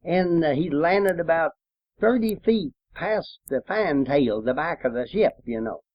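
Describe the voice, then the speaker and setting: A man's voice: on the high side, expressive, unhurried, no echo. An older man, a folksy veteran reminiscing in a rambling, casual way on a muffled home recording. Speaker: war veteran storyteller. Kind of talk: casual reminiscing with old folk song.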